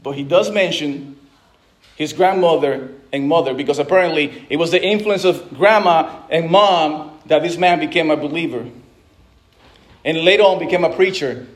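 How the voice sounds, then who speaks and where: High-voiced preaching man from the Dominican Republic. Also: moderate pace. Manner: conversational preaching with frequent restarts and asides. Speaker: pastor. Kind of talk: sermon.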